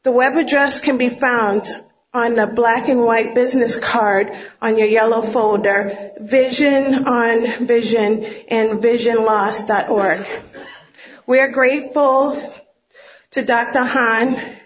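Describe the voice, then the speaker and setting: A woman moderator reading announcements and introducing speakers at a conference, halting and tripping over titles. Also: fairly high pitch, steady tone. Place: conference room. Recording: dull lo-fi lecture recording.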